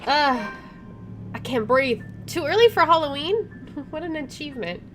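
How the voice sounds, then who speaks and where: A woman with a fairly high voice reads a game's narration aloud as a streamer. She slips into casual, reactive commentary as she goes. Game music plays clearly behind her. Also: lively intonation, unhurried, a bit bright. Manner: informal, reactive. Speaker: game streamer, let's player.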